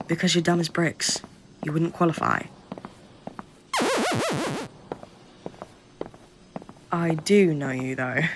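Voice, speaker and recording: A woman's voice: low voice, bright, forceful tone, slight background noise, rough recording. A woman playing a curt, condescending government researcher who briefs a recruit with blunt, sardonic impatience in an audio roleplay. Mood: scornful. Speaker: researcher guiding a new recruit. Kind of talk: audio roleplay acting, addressed to listener.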